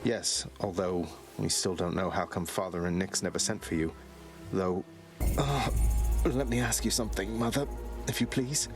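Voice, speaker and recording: A man playing a defiant but courteous young prince in a video game, speaking in a measured, wry way. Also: low-pitched, over music. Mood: hesitant, probing. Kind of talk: dramatic video game acting.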